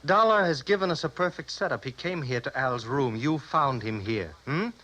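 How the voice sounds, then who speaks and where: Cold, menacing American mob boss. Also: male voice, medium pitch, lively intonation, normal speed, bright, forceful tone, rough recording. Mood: ruthless, scheming. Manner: commanding, clipped, threatening. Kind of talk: 1950s radio noir villain acting.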